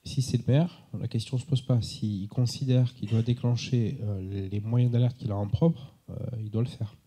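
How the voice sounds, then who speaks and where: A man gives a didactic answer at a conference. His voice is husky and mid-pitched, with a very dark tone. The wording is formal and measured. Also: steady tone. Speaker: expert answering audience questions. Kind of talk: unscripted structured answer at a conference.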